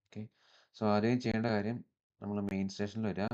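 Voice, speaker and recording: Low, flat-toned Malayali man, tutorial. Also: a little fast, dull audio. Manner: instructional, mixes English technical terms into speech. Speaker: tech tutorial presenter. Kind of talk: step-by-step software walkthrough.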